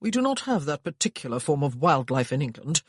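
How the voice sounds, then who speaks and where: A composed English gentleman in an audiobook speaks in a measured, reassuring way, as the narrator plays him. The husky voice has lively pitch, recorded close and dry. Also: dry recording. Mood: calm.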